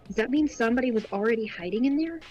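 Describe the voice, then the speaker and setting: A woman's voice: fairly high pitch, expressive, rapid-fire, a little mellow, faint music behind. A woman co-commentating casually on a video game over a lo-fi voice chat. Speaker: let's play co-commentator.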